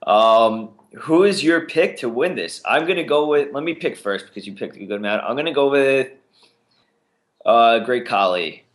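A man's voice: medium pitch, normal speed. Casual man in his mid range, easygoing podcast co-host, talks in fits and starts. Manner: relaxed, hesitant with fillers. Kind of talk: casual wrestling podcast chat.

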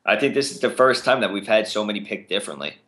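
A man co-hosting a casual podcast, chatting and making predictions in a relaxed way with frequent fillers. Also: fairly low pitch, quick pace. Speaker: podcast co-host. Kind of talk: casual wrestling podcast chat.

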